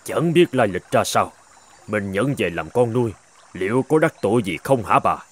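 Grumbling old farmer, lively pitch. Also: male voice, moderate pace. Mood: hesitant. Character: old farmer husband, grumbling but soft-hearted. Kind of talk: animated folk tale dubbing.

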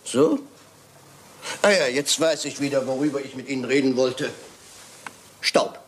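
An actor playing a shrewd, self-assured detective in a German radio crime drama, with a sly, theatrical manner. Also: male voice, mid-range voice, steady tone, measured pace, a little hiss or hum, lightly acted. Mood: probing, accusing. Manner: theatrical, sly, teasing, with occasional French phrases.

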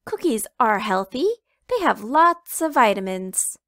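A woman voicing an excitable little chicken in a picture book read aloud, squealing and sing-song. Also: a little high, a little slow, no echo, clean studio sound, heavily acted. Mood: excited. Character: excitable little girl chicken, chatty and pushy.

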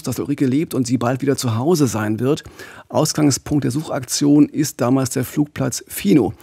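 A middle-aged man with a creaky, slightly husky voice tells a true-crime story as a podcast host. His delivery is grave and measured. It is recorded very close and dry in a studio. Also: mid-range voice, dark tone, no echo. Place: small radio studio. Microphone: close studio mic with pop filter.

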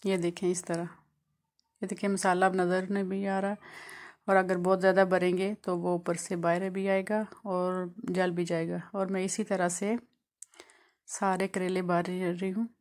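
A woman from Pakistan hosting a home cooking channel, calmly walking through each step of a recipe in a voice-over. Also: lowish voice, a little slow. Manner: calm, explanatory, walks through each step.

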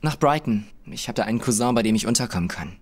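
A man's voice: medium pitch, animated, quick pace, natural voice. A young man playing a plain-spoken, guarded working-class lad in a radio drama. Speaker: witness.

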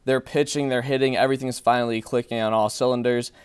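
A man's voice: mid-range voice, fairly even intonation, fast, clear, resonant tone, no room sound. A young man working as a sports analyst in a small TV studio, breaking down games and players confidently and fluently. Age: young adult.

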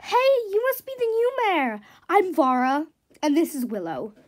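A woman's voice: high-pitched, big pitch swings, normal speed. A woman voicing a friendly, patient mare in a horse story, speaking in a conciliatory, plain-spoken way. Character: friendly, patient mare named Vara, polite peacemaker.

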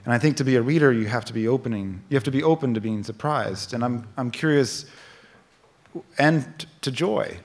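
A man in his forties moderating a stage conversation at a book festival, asking curious, halting questions into a stand mic. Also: medium pitch. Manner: halting, many fillers and restarts, curious. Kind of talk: onstage literary conversation. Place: theater stage at a book festival, live audience.

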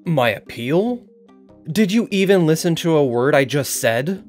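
A man's voice: a little high, animated, unhurried, a little mellow, soft background music. An actor playing a defiant male site director in an audio drama, formal, insistent and sardonic as he argues his case. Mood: indignant. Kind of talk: scripted audio drama interview.

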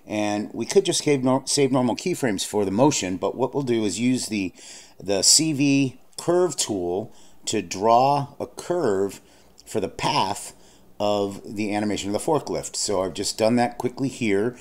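A man narrating a screen-recorded software tutorial, explaining steps plainly with frequent fillers and restarts. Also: fairly low pitch, expressive, measured pace. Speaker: software instructor.

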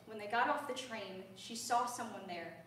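A woman's voice: fairly high pitch, normal speed, a bit bright, far from the mic. An earnest young woman talks her audience through a lecture, conversational and dotted with ums. Her voice comes from well across a big recital hall, washed in heavy reverb over a faint hiss. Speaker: voice student giving a lecture recital. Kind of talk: spoken lecture. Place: large recital hall with a grand piano. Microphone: far-off room camera. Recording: echoey hall, heard from afar, faint hiss.

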